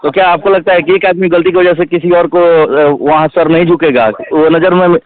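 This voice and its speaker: Man on a tinny phone line, halting. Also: on the high side, fairly even intonation. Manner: halting, repetitive, emphatic. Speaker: interviewee. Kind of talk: phone interview. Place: phone call. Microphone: telephone. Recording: tinny phone call recording.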